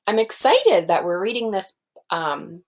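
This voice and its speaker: A woman's voice: medium pitch, sing-song, measured pace. A woman teacher explaining things simply to young children and reading a storybook aloud.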